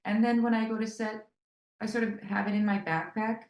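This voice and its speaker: A woman's voice: mid-range voice, level tone, a little fast, darkish tone, roomy sound. An actress whose first language is German, speaking English with a German accent and talking earnestly about her role in an interview. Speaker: actor. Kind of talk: press junket interview.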